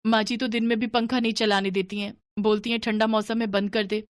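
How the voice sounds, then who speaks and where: A woman's voice: on the high side, flat delivery, a little fast, dry recording, studio quality, close to an everyday voice. A woman voicing a young, timid daughter-in-law in a cartoon story. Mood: cautious.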